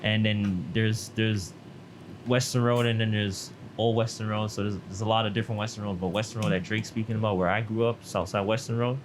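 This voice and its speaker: A man, a model being interviewed, talking in relaxed street slang and often checking in with "you feel me". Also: lowish voice, a little fast, a little hiss or hum. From Toronto, Canada. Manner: laid-back street slang, frequent "you feel me" tags. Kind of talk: casual interview chat.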